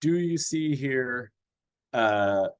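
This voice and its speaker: A man's voice: medium pitch, lively intonation, drawn-out words, smooth. A man who grew up in Austria presents a school curriculum over a video call, talking in a friendly way with frequent fillers and restarts. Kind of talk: webinar presentation.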